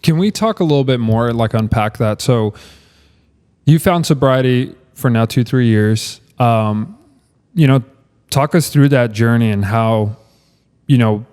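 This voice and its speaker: Young male co-host, casual and easygoing, smooth mellow tone; long reverb. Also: mid-range voice, measured pace. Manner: casual, relaxed interviewer with fillers. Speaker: podcast co-host. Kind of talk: casual podcast chat.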